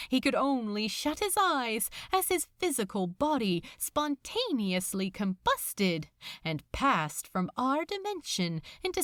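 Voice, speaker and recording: A woman reading a story aloud as a dramatic narrator, in deliberate, measured phrases with pauses. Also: medium pitch, dry recording, clean studio sound. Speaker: fan fiction narrator.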